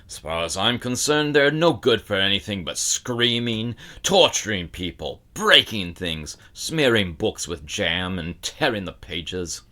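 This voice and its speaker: A man voicing a gruff, grumbling older shop owner who dislikes children, in a storybook reading. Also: lowish voice, sing-song, measured pace, few pauses, sharp tone, full character voice. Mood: grumpy ranting. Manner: growling, grumbling, brusque and scornful. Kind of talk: audiobook character acting.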